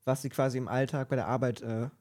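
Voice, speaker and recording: A man's voice: mid-range voice, fairly even intonation, quick pace, a little mellow. A man co-hosting a podcast interview, asking long, wordy questions in a conversational way. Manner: wordy, rephrases answers as questions.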